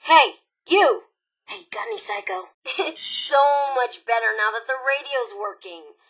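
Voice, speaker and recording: A woman's voice: sits high, big pitch swings, measured pace, vocal fry, fairly bright tone, slightly rough, exaggerated voice. A woman voicing a psychotic, gleefully violent female robot raider in a video game, sardonic and flippant, with mock-sweet menace. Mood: eager, scheming. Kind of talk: video game character dialogue.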